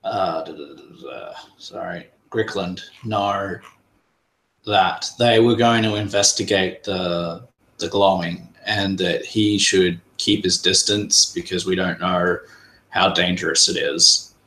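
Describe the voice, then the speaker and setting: A low-voiced man talks through his character's moves slowly, pausing often with ums and restarts. His voice sits in a roomy, echoey space. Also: pushed and bright. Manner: hesitant, many fillers. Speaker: player. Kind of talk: tabletop game play.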